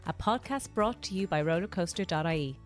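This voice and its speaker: A woman co-hosting a podcast, giving the intro and reading an ad in a smooth, even way. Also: on the low side, fairly quick, soft background music. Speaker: podcast co-host. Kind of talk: scripted intro and ad read.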